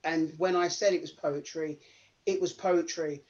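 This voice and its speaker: A man speaking as an actor in an informal, heartfelt panel Q&A, effusive and halting as he repeats words. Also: fairly high pitch, fairly even intonation. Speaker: actor in a post-show Q&A. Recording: dull, lo-fi sound.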